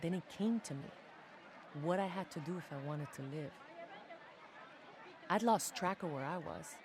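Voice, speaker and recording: A woman's voice: on the low side, normal speed, a crowd in the background, background noise, close to an everyday voice. A woman playing a young special forces soldier from the slums, telling her story in a confiding, casual game audio log. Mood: tense, urgent. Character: young female special forces soldier, tough favela-raised street kid. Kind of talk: dramatic first-person audio log narration.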